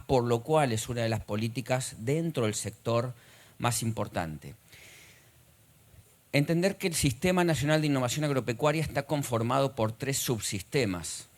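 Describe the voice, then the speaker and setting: Man, argentine accent, middle-aged, conference lecture, measured, hesitant fillers, mid pitch. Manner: measured, with frequent "eh" and "digamos" fillers. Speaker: conference speaker.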